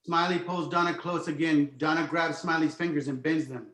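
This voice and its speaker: Higher-pitched man narrating in echoey room. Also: steady tone, moderate pace, fairly bright tone. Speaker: narrator reading stage directions. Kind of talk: scripted narration, screenplay table read. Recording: roomy, echoing home recording.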